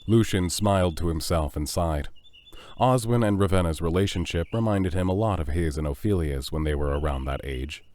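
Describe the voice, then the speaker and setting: A man's voice: deep voice, normal speed, darkish tone. A man reading scripted narration for an audio drama as its narrator. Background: music.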